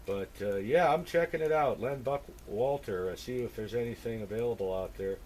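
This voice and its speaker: Male ham operator, mid-pitched voice, halting casual radio chat, some background noise. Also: a little mellow. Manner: halting, thinking aloud, spells with phonetic alphabet.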